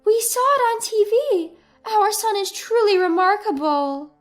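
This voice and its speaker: A woman's voice: very high voice, lively intonation, unhurried, faint music behind, voice pushed up. A woman voicing a brazen, self-serving daughter-in-law with sugary cheer, in storybook dialogue. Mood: excited, greedy.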